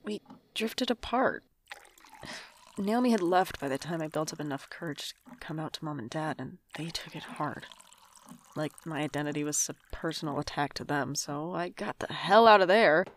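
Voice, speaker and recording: Low-voiced, weary young adult played by a woman. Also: moderate pace, a little hiss or hum, lightly acted. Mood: guarded, weary. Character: weary young adult estranged from family, guarded and wry. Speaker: main character and narrator. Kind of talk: audio drama acting with reflective narration.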